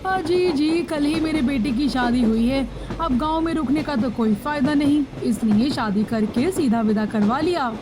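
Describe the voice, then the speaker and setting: High-voiced chatty mother-in-law played by a woman. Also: moderate pace, hardly any pauses, noisy background, lightly acted. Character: chatty, homely middle-aged village mother-in-law. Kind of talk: animated moral story voice acting.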